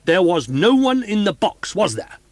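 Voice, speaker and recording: A man voicing an irate, pompous male opera manager who shouts and blusters in an audiobook reading. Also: mid-range voice, very animated, fairly quick, dry recording, pitched above the performer's own voice. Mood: stern.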